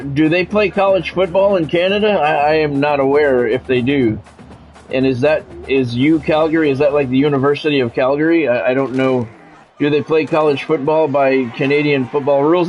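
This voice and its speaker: A man's voice: a little high, muffled recording, a little hiss or hum. A middle-aged American man streaming a sports video game, mixing casual chat with punchy announcer-style play-by-play. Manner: conversational, switching into punchy announcer-style calls.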